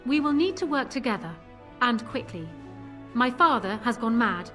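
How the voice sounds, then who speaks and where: High-voiced, proud young queen. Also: expressive, fairly bright tone, faint music behind. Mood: urgent, earnest. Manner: formal, assertive, measured. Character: proud, shrewd young widowed queen, daughter of a general. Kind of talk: fantasy game voice acting.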